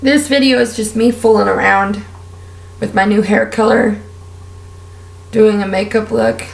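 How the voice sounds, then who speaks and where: A woman with a raspy, strained voice, talking candidly and at length while doing her makeup for a vlog. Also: mid-range voice, fairly even intonation, measured pace, reverberant, low-quality recording. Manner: candid, heartfelt, rambling with fillers. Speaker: beauty vlogger. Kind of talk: casual personal rant while doing makeup.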